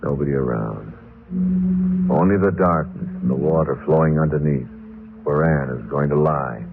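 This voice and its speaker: An actor playing a guilt-haunted, nervous murderer in an old-time radio horror drama, speaking in halting, stammering phrases. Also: male voice, very deep voice, gravelly. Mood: grim, confessional. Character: guilt-haunted, jittery murderer narrating his crime. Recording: noisy, muffled old radio broadcast.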